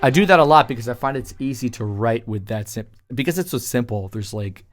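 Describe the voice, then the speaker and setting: A man's voice: mid-range voice, lively intonation, a little fast. A man talking casually as a music producer, explaining his work in an informal tutorial voice-over. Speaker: music producer presenting a track breakdown.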